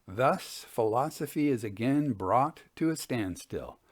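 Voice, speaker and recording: A man narrating scripted stories as a storyteller and host, with a measured, formal delivery. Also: medium pitch, lively intonation, no echo, clean studio sound. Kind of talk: scripted narration of old ghost stories with commentary.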